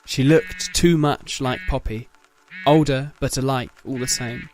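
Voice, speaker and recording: A man's voice: mid-range voice, a little slow, darkish tone, soft background music. A man reading dramatic scripted narration as the narrator of an audio story.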